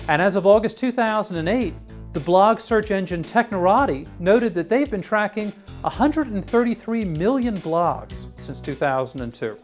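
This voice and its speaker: A man narrating a scripted video essay as its host, reading in the style of political media commentary. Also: high voice.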